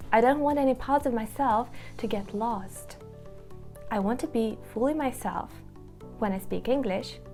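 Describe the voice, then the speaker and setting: A woman's voice: fairly high pitch, darkish tone. Earnest woman teacher. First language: Russian. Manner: earnest, reflective, addresses viewer directly. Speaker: English teacher, course founder. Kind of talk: scripted promotional talk to camera.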